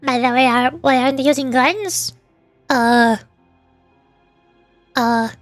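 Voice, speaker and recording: A woman's voice: high voice, slow. A woman giving casual, playful game commentary, often thinking aloud hesitantly as she goes. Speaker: streamer voice-acting along with a video game. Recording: music behind the voice. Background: game music.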